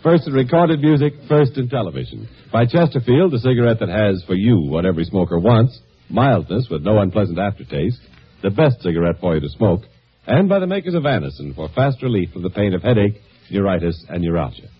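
A man's voice: lowish voice, very animated, fairly quick, breathy edge. A man working as a 1950s radio announcer, reading sponsor messages with a polished salesman's delivery. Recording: dull vintage radio broadcast recording.

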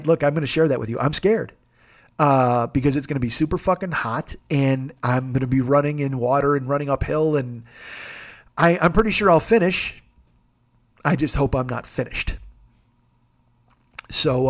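A man in his forties hosting a solo podcast, his voice worn and gravelly, talking in a self-deprecating, profane way with jokey asides. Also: mid-range voice, moderate pace. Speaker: podcast host. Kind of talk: rambling solo podcast monologue.

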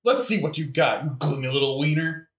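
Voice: medium pitch, animated, fairly quick, roomy sound, close to an everyday voice. A performer playing an aggrieved, macho young duelist in a modern comic audioplay, slangy and blustering. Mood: cocky, combative.

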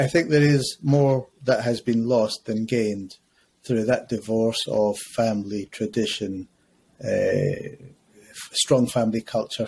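A man in his fifties talks as a podcast guest, weighing his words carefully and pausing often. His voice sits at an ordinary middle pitch. A faint noise hums behind him. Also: mellow. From Scotland. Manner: measured, reflective, frequent hesitations. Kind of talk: conversational podcast interview.

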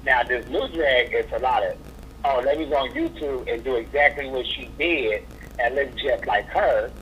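A man's voice: mid-range voice, moderate pace, a bit bright, slight background noise. A man, a drag performer, talking as a guest in a casual interview, sassy and blunt in his opinions. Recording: dull lo-fi recording.

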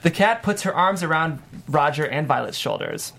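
A woman's voice: deep voice, expressive, normal speed, vocal fry, a bit bright, a little hiss or hum. A woman reading scripted narration and stage directions at a comedy table read.

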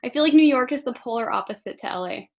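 A woman's voice: high voice, expressive, fast. A woman hosting a podcast, reading a scripted intro and then chatting with a guest in an upbeat, enthusiastic way. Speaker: podcast host.

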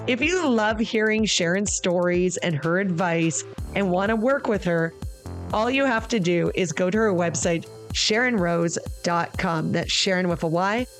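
A woman announcer reads a scripted outro in a bright, promotional tone. Quiet music plays behind her voice. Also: lowish voice. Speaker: podcast announcer. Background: faint music.

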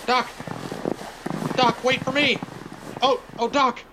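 A man's voice: very high voice, little pitch movement, takes it slowly. A man playing a nervous, superstitious small-town sidekick in old-time radio horror style, folksy, stammering and pleading. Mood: panicked. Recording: noisy, dull homemade radio drama recording.

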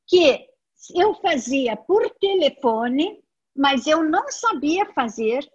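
A Brazilian woman with a Brazilian Portuguese accent gives a personal testimony to an audience, telling her story with animation and acting out the dialogue she quotes. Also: high voice. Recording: dull lo-fi audio.

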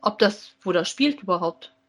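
A woman with a medium-pitched voice speaks in a casual podcast style. She is informal and forms her opinions aloud as she goes. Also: animated, normal speed, a bit bright, husky. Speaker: podcast co-host. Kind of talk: casual conversational review of audio dramas.

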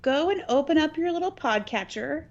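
A woman's voice: sits high, lively intonation, normal speed, highs cut off. A woman co-hosting a podcast in casual, profane, joking chat, with a scratchy, partly lost voice. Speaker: podcast co-host.

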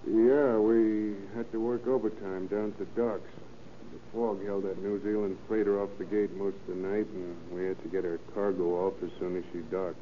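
Man acting a shifty gruff crook, old noisy radio. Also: on the low side, little pitch movement, moderate pace, dull audio, close to an everyday voice. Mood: groggy, defensive. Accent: American. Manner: slangy, curt tough-guy talk. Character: shifty ex-convict father, gruff and evasive crook. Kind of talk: 1930s radio crime drama acting.

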